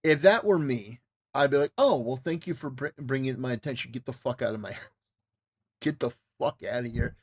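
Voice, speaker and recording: A man's voice: medium pitch, fairly quick, breathy edge. A man giving casual, opinionated livestream commentary in an emphatic, repetitive way, with stop-start phrasing. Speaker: livestreamer reacting to a clip.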